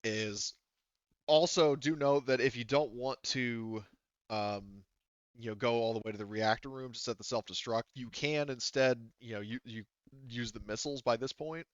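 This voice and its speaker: Man game master, smooth but slightly muffled. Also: mid-range voice, dry recording. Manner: halting, thinking aloud. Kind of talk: running a tabletop roleplaying game, casual explaining.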